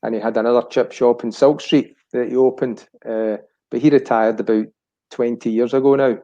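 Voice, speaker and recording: Dark-voiced Scottish man around sixty, lo-fi. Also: medium pitch, fairly even intonation, normal speed. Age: about 60. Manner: rambling, searching for words. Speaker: chat show guest, family business director. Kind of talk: casual reminiscing.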